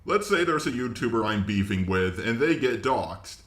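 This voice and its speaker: A man's voice: on the low side, animated, moderate pace, fairly bright tone. A man giving casual reaction commentary. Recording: roomy, echoey voice recording.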